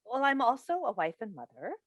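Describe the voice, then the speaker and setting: Woman acting an animated, earnest talk-show host. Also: fairly high pitch, brisk, dry recording, clean studio sound. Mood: defensive. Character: defensive daytime talk-show host, wife and worried mother. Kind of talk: staged play reading. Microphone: video call microphone.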